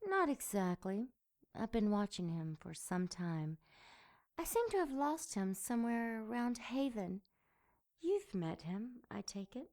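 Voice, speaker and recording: Slinky femme fatale, young, dark soft low voice, drags words out real slow, teasing and dangerous, radio drama. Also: female voice, very animated. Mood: probing. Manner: slow, breathy purring, teasing and commanding. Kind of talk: audio drama acting.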